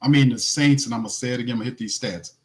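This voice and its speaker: Raspy-voiced man talking football. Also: mid-range voice, racing. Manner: loose, slangy, restarts a lot, reads stats. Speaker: podcast host. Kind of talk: casual sports podcast talk.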